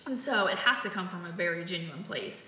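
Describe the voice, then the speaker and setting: Bright-voiced chatty woman in her thirties. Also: lowish voice, lively intonation, fairly quick. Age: 30s. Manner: chatty, lots of fillers and restarts. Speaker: interviewee, community volunteer. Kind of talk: casual conversational interview. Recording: roomy echo.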